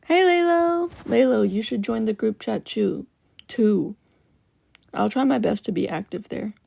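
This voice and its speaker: Gravelly low-voiced young woman livestreaming. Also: lively intonation, unhurried, warm tone. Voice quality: lower voice for a woman. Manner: chatty, loose, with frequent "like" fillers. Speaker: livestream host. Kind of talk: casual live-stream chat with viewers.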